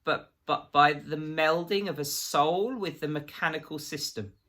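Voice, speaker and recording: An oily, anxious tradesman from a storybook, acted by a male reader. He blusters and falters, bluffing his way through questioning. His voice is pushed higher, with a tense, bright edge. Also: unhurried. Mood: terrified. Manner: blustering, faltering, stammering when pressed.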